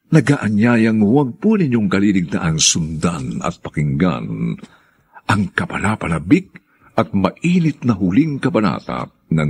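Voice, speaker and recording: A man's voice: low voice, gravelly, slightly rough. A man with a Filipino accent announcing and narrating a radio drama in a formal, solemn, theatrical style.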